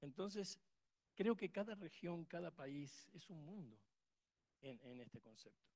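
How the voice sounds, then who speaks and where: Argentine guy going off at a conference q&a, kinda high voice, loud then trailing off. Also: expressive, a little slow. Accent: Argentine Spanish. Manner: emphatic, rambling, many restarts and fillers. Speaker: conference attendee asking a question. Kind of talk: impassioned audience remark.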